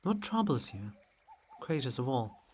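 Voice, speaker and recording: A man's voice: medium pitch, sing-song, a little slow, darkish tone, breathy edge. A man voicing a bold, sly, devoted sister in a theatrical, teasing, insistent stage reading. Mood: tender, pleading. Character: Sister Lybid, bold, sly, devoted female river spirit.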